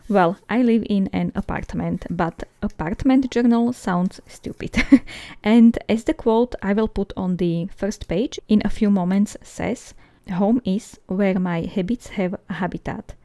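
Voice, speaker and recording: A woman's voice: mid-range voice, creaky, dark tone, dry recording. A woman narrating a journaling vlog in a chatty, giggly way, often laughing lightly between sentences. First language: Slovak. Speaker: journaling YouTuber. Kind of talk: voice-over narration of a craft video.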